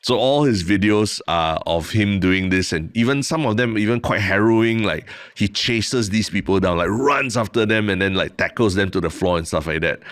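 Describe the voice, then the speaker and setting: A man's voice: lowish voice, normal speed, few pauses, a bit bright, dry recording, clean studio sound. A young Singaporean man co-hosting a podcast, telling a long story in a chatty way with lots of "lah" and restarts. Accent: Singaporean English. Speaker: podcast co-host. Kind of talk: casual chat.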